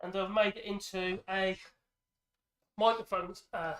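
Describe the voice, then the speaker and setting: A man's voice: sits high, flat delivery, a little slow, fairly bright tone. A middle-aged man with a head cold, hosting a woodworking vlog in a rambling, chatty way with self-deprecating jokes, recorded in his home workshop on a mic hung from the ceiling. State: congested with a cold. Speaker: woodworking channel host. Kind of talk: casual workshop vlog chat. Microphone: microphone hung from a ceiling clamp.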